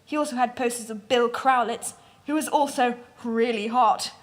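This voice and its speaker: A woman's voice: fairly high pitch, steady tone, moderate pace. A woman narrating a comic dramatic reading in a mock-serious, theatrical manner.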